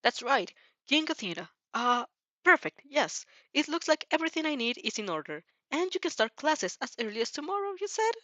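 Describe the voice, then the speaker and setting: A woman's voice: high-pitched, sing-song, a little fast, bright, forceful tone, no echo, voice pushed up, heavily acted. A woman voices a kindly male principal in a fan audio drama. He is polite, welcoming and a little formal. The recording is dry. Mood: welcoming, pleased.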